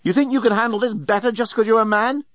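Storybook alien heroine, played by a man: bossy, exasperated young woman, raised pitch, dry, slightly dull recording. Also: fairly even intonation, fast, a bit bright, dry recording. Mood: indignant. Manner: exclaiming, exasperated. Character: attractive young female alien, indignant, urgent, bossy.

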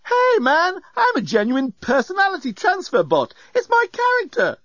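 High, wildly swooping robot bellboy. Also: male voice, wildly swooping pitch, normal speed, a bit bright, no echo, lo-fi sound, full character voice. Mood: hurt, sulky. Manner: exclamatory hipster slang, over-familiar. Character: breezy, cheeky robot bellboy, easily hurt.

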